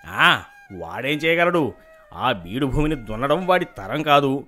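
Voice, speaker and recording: A man's voice: mid-range voice, expressive, measured pace, pushed and bright, faint music behind. A man playing a greedy, jealous, shifty village schemer in a folk tale. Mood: sneering, scheming. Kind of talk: acted folk tale dialogue.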